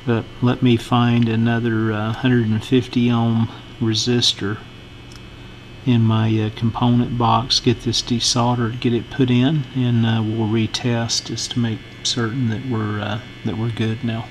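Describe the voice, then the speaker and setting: A middle-aged man giving an informal hands-on repair walkthrough, halting and unhurried as he thinks aloud. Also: mid-range voice, little pitch movement, a little hiss or hum, rough recording. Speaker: host of a radio repair channel. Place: indoor electronics workbench.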